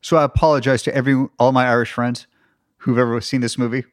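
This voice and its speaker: A man's voice: medium pitch, steady tone, fast, no room sound, studio quality. Playful self-mocking man co-hosting. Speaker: podcast co-host, actor. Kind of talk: casual chat.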